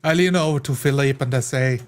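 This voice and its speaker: A man's voice: mid-range voice, fairly quick. A man playing a tabletop game, improvising and describing what his character does.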